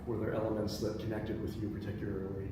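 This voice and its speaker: A man's voice: lowish voice, little pitch movement, quick pace. Give me a man moderating in a very echoey room. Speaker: moderator of a screenplay table read. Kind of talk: informal group discussion and reading aloud stage directions. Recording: echoey, noisy room, distant microphone.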